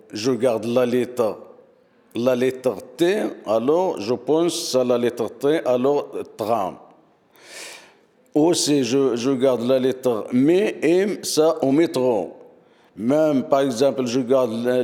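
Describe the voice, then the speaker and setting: A man's voice: medium pitch, mellow, big-room sound. A man learning French, giving a testimonial in simple, repetitive sentences with restarts. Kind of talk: spoken testimonial.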